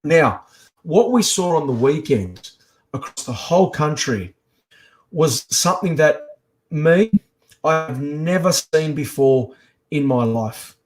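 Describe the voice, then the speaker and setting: An Australian man in his forties with a slightly croaky voice, giving an earnest, impassioned speech as a guest on a video-call live stream. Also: a little high, lively intonation, takes it slowly. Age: 40s. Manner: earnest, rising with emphasis. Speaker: guest speaker, activist.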